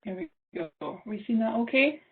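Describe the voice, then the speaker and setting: A woman's voice: on the high side, lively intonation, moderate pace. A young woman from the Isle of Lewis with a Scottish accent, giving an online seminar talk, thoughtful and full of ums. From Isle of Lewis, Scotland. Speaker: seminar speaker. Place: small room at home. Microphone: laptop webcam on a video call. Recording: tinny phone-like video call.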